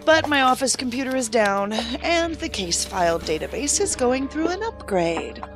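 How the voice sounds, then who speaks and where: Audio drama: dry, stubborn female federal agent, expressive pitch, music behind. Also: moderate pace. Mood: dry, businesslike. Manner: dry, teasing.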